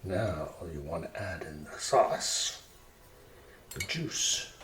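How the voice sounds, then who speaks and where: Slow, low-voiced man in an echoey kitchen. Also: expressive, a bit bright. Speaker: home cook presenting a recipe. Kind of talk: ASMR cooking demonstration. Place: home kitchen, indoors. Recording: roomy kitchen echo, clattering dishes and clicks.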